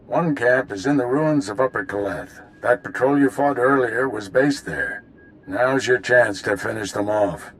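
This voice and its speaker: A man's voice: medium pitch, measured pace, lightly acted, poor audio. An actor playing a seasoned warrior-monk mentor in a video game, businesslike and authoritative as he gives orders. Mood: grave, commanding. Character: seasoned, steady Jedi master mentor giving orders. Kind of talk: video game drama acting.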